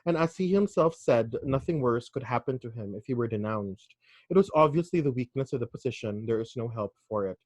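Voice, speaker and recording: A man voicing a self-loathing, weary young English gentleman in a measured, grave reading. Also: mid-range voice, expressive, no echo, natural voice. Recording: dry, slightly dull recording.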